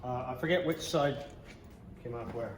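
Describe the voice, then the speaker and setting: A man's voice: medium pitch, moderate pace, very noisy. Casual man in an echoey workshop. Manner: thinks aloud while working, muttering to himself. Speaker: host of a DIY car build channel. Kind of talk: casual workshop vlog chat.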